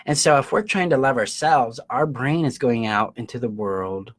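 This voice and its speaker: A man's voice: mid-range voice, moderate pace, fairly bright tone. A man giving an explanatory self-help coaching talk straight to viewers, stressing key words emphatically and checking in with listeners. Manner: emphatic, acts out imagined quotes, checks in with listeners.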